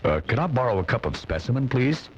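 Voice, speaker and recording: A man's voice: mid-range voice, very animated, fast, husky. A man acting a flustered, sheepish sketch character who stammers and pleads in a whiny way. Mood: nervous, whiny. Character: flustered, sheepish man anxious about a drug test. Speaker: radio comedian. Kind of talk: radio sketch comedy acting. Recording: muffled off-air cassette radio recording.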